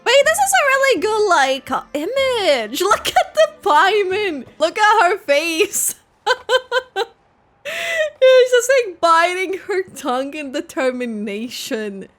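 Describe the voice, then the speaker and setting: A young woman giving casual game reaction commentary, giggly and rambling in a halting way. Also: extremely high pitch, very animated, a bit bright, soft background music. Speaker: game streamer.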